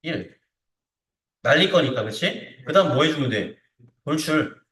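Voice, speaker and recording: A man teaching a hands-on software lesson step by step, informally, often checking with short tag questions that the learner is following. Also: on the high side, animated, a little slow. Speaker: CAD instructor. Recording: roomy echo, distant microphone.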